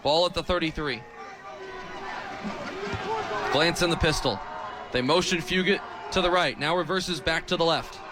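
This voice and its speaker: High-pitched young male football play-by-play announcer. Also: a little fast. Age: 20s. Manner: animated, builds from clipped calls to excited shouting. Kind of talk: live American football radio commentary. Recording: stadium crowd behind the commentary. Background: stadium crowd.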